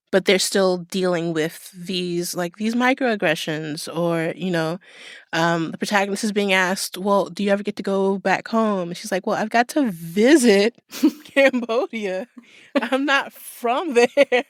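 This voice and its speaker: A woman's voice: medium pitch, no echo, clean studio sound. A woman hosting a podcast interview in a casual, playful and informal conversational style. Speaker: podcast host interviewing an author.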